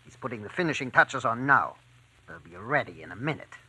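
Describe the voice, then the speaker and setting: An actor playing a stern, suspicious spy in a 1940s radio drama, curt and commanding. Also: male voice, medium pitch, sing-song, brisk, loud music behind the voice, lightly acted. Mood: approving, businesslike. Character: stern, suspicious male foreign spy giving orders. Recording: noisy, clicking old radio recording.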